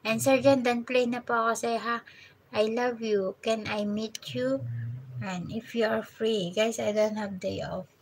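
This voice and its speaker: A woman's voice: on the high side, steady tone, a little slow, slight background noise, rough recording. A young Filipino woman with a Filipino accent chatting playfully on a live stream, mixing English with Tagalog. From Philippines. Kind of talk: casual live-stream chat. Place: abroad, working in the Gulf.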